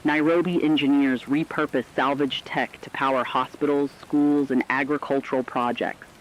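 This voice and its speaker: A man's voice: mid-range voice, fairly even intonation, moderate pace, slight background noise, natural voice. Muffled actor as earnest male radio co-anchor. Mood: earnest, hopeful. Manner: formal, earnest. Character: radio co-anchor reporting hopefully on a rebuilding world. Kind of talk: scripted news broadcast narration.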